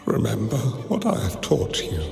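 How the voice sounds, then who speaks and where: A man playing a wise, solemn old mentor in a game cutscene, speaking in a grave, measured way. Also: fairly low pitch, wildly swooping pitch, gravelly, darkish tone, full character voice. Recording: roomy reverb with game music behind.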